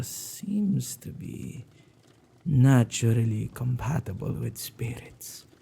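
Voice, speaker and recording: A man playing a playfully menacing, possessive male witch in an ASMR roleplay, with a dark, gravelly, husky voice that often drops to whispers and a teasing, drawn-out delivery. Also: medium pitch, full character voice. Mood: insistent, intrigued. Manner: teasing, unhurried, with drawn-out words and hesitant repeats.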